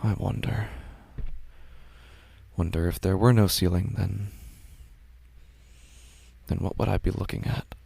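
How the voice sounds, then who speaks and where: A man's voice: low-pitched, steady tone, measured pace, vocal fry, pauses often, warm tone, husky. A man hosting and narrating a scripted horror story. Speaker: podcast host and narrator.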